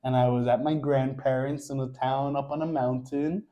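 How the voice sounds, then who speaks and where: New Yorker co-host, relaxed storyteller, dark warm tone. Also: male voice, mid-range voice, normal speed, soft background music. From Queens, New York. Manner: easygoing storyteller, guides the conversation. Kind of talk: casual couple chat.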